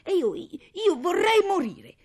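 A woman's voice: fairly low pitch, moderate pace, vocal fry, dark tone, slight background noise. A woman voicing a sensitive, devoted ten-year-old girl who pleads plaintively, in a dramatic story reading. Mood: upset.

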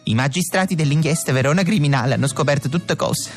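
An actor playing a mob boss's wife with a Neapolitan accent, mournful and theatrical, in a comic radio drama. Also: male voice, a little high, fast, faint music behind, lightly acted. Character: mob mother, dramatic and resigned.

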